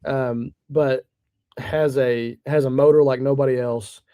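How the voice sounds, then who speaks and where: A male guest with a warm, relaxed voice at an average pitch. He chats casually in an interview, easygoing and modest. The recording is dry, with no room sound. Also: unhurried, no echo. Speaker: guest, stadium PA announcer.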